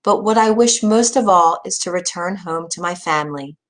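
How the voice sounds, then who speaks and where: A woman's voice: fairly low pitch, moderate pace, natural voice. A woman voicing a brave, devoted young daughter in a storybook, speaking earnestly and with resolve. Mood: grateful.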